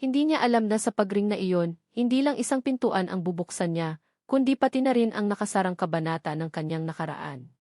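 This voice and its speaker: A woman's voice: mid-range voice, lively intonation, a little fast, mellow, no echo. Woman narrating a love story, dry recording. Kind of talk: scripted narration of a romance story.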